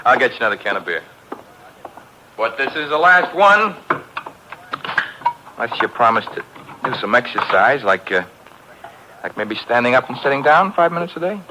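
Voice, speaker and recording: An actor playing a breezy, sardonic young detective's assistant, trading flippant teasing lines in 1950s radio style. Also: male voice, mid-range voice, sing-song, normal speed, pauses often, sharp tone, rough recording. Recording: vintage 1950s radio broadcast, faint hiss.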